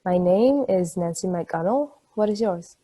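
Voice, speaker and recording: A woman's voice: lowish voice, lively intonation, unhurried, warm tone. A woman playing a friendly, patient character in a table read, reassuring and conversational. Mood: gentle, reassuring. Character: friendly, patient woman, gently encouraging newcomer.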